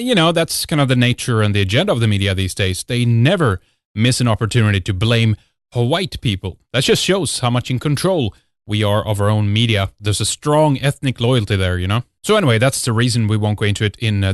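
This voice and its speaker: A man's voice: medium pitch, no room sound, clean studio sound. A man from Sweden with a Swedish accent, hosting a talk radio show. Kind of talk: talk radio monologue and guest introduction.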